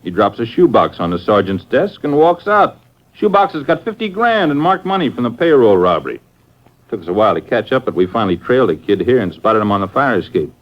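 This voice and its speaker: An actor playing a gruff, no-nonsense policeman in a 1950s radio crime drama. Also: mid-range voice, very animated, brisk, lightly acted. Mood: grudging, explanatory. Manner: gruff, matter-of-fact. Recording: dull vintage 1950s radio recording.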